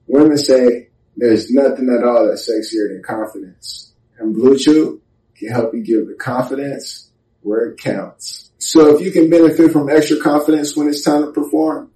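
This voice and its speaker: A man hosting a podcast, reading a sponsor advertisement with an upbeat pitch delivery. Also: dark tone, slight background noise. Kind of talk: read advertisement. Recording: roomy, distant mic.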